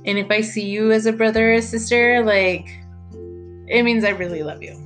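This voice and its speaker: Excitable woman hosting a chatty podcast. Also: faint music behind. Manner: excited, fast and high-pitched, stretching words, swearing often; drops Spanish phrases into her talk. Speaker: podcast host. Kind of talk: casual podcast monologue.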